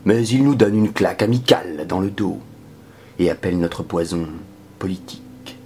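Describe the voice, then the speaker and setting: A man performing a dramatic spoken-word poetry reading in a solemn, measured manner that can sink toward a whisper. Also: low-pitched, mellow, faint music behind. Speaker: poetry reader.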